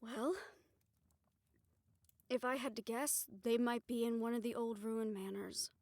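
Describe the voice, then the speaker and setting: A woman playing a level-headed young hunter and caring leader in an audio roleplay, practical and calming in manner. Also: on the high side, unhurried, dry recording. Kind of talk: dramatic audio roleplay acting.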